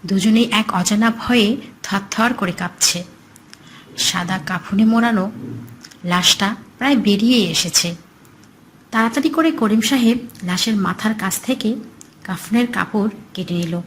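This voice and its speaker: A woman's voice: medium pitch, measured pace, long pauses, slight background noise, low-quality recording. A woman reading a scripted horror story as its narrator.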